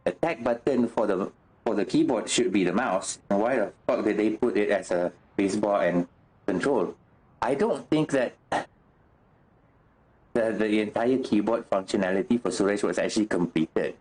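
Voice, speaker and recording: A man chatting casually as a game streamer, halting and repeating himself as he searches for words, mildly exasperated. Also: lowish voice, a little mellow, rough recording. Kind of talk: casual livestream chat while gaming. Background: music.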